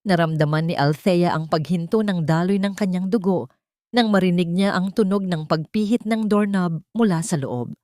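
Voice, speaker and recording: A woman with a Filipino accent narrating a scripted dramatic audio story in a measured, solemn manner. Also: on the low side, dark tone, dry recording, studio quality.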